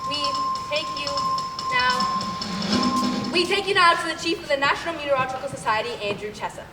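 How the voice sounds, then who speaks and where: A woman's voice: sits high, fairly quick, loud music behind the voice, natural voice. A young woman playing a pompous, domineering radio playwright and announcer, grandiose and bossy, in theatrical stage comedy. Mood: flustered formality. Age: young adult. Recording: roomy noisy stage hall, far mic.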